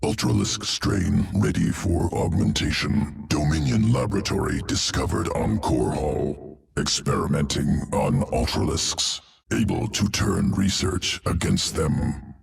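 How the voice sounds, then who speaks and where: A man voicing a detached alien scientist creature for a video game, gravelly and processed, in clipped, emotionless phrases. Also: sits low, lively intonation, a little slow, recorded from a distance, heavily acted. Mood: clinical, detached. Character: clinical alien evolution-engineer creature, detached, obsessive.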